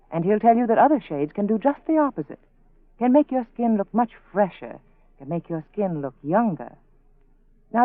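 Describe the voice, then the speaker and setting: An American woman reading a scripted 1940s radio advertisement as the sponsor's spokeswoman, measured and persuasive. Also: mid-range voice, dry recording. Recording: narrow, phone-like 1940s radio broadcast.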